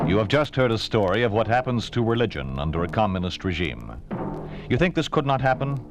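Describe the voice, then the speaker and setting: Low-pitched, grave American radio announcer. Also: male voice, big pitch swings, brisk. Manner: grave, declarative. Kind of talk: 1950s radio announcing, scripted. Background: prominent music.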